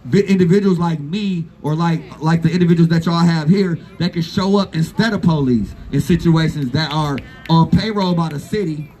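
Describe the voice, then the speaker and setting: A man's voice: a little high, moderate pace, few pauses, mellow, soft background music. A man in his thirties giving a blunt, profane and impassioned speech at an outdoor rally, repeating phrases for emphasis. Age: 30s. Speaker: activist speaker at a rally. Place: outdoor gathering.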